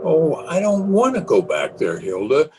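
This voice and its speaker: A man's voice: fairly high pitch, wildly swooping pitch, measured pace, low-quality recording. A man playing a nervous, guilt-ridden husband, tremulous and brooding, in 1930s radio melodrama style. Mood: anguished, pleading. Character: nervous, guilt-ridden husband who killed his wife.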